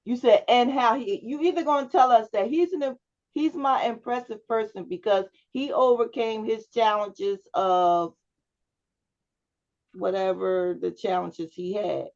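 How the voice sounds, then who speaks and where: A woman's voice: fairly high pitch, a little slow, a little mellow, muffled recording. A woman public speaking instructor giving one-on-one feedback to a student over a video call, often checking in with "right?". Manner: coaching, checks understanding with frequent "right?".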